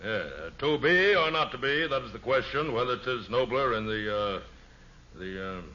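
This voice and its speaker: A blustery older houseguest, forceful and animated. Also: male voice, mid-range voice, moderate pace. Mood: jovial. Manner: blustery, chuckling, repeats himself. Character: jovial, pompous older male houseguest. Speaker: actor in a family sitcom. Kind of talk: 1950s radio sitcom acting. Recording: muffled, noisy old radio recording.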